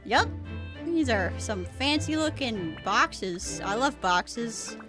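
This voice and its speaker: A woman chats casually while streaming a game. She speaks slowly but with very expressive pitch, in a giggly, rambling way. Game music plays clearly behind her. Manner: giggly, chatty, tangential. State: sick. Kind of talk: casual live game commentary. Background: game music and dialogue.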